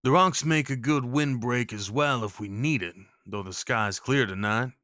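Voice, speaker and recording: A man's voice: mid-range voice, moderate pace, a bit bright, no echo, close to an everyday voice. Man playing a folksy Old West cowboy, close and dry. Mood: gentle, reassuring. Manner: folksy, reassuring, informal. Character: kindly rough-spoken Old West cowboy guide, protective and awkward. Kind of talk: intimate audio roleplay acting.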